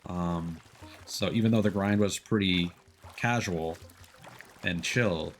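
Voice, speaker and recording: A man casually explaining game mechanics on a gaming stream in a halting, rambling way. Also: low voice, slight background noise.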